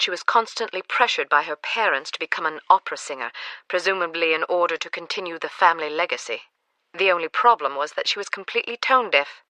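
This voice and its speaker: A woman's voice: on the low side, pushed and bright, no room sound, low-quality recording. An actress playing a cool, wry young female spy in a video game, composed and articulate. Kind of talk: video game character dialogue.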